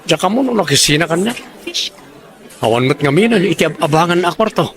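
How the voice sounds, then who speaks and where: Casting: actor as casual, slippery young guy for audio drama, on the higher side for a man. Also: expressive, brisk, natural voice. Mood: evasive. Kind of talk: Ilocano audio drama acting.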